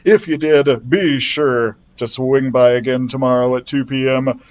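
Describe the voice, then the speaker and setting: A man's voice: medium pitch, fairly even intonation, unhurried, a little mellow, lo-fi sound. A man reading a children's book aloud as a librarian storyteller, friendly and chatty, into a built-in laptop mic.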